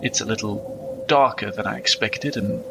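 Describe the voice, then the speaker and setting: An actor playing a nervous, polite monk in a comic fantasy audio drama, speaking haltingly. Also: male voice, fairly low pitch, gravelly, noisy background. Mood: hesitant, uneasy. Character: nervous, hesitant monk in a bleak afterlife.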